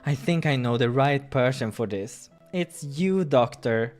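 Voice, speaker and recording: A man's voice: mid-range voice, animated, measured pace, soft background music, lightly acted. A man voicing a kindhearted young kitten hero in a visual novel, earnest and pleading. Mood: tender.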